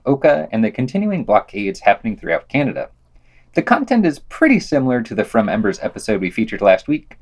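A man reading scripted podcast reviews in an analytical, wordy and measured way. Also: medium pitch.

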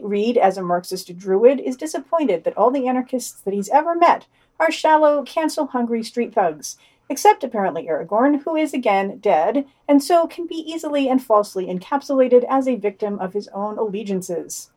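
A woman's voice: on the high side, a little mellow. A woman hosting a podcast, reading a scripted news digest with wry, emphatic, opinionated commentary. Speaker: podcast host.

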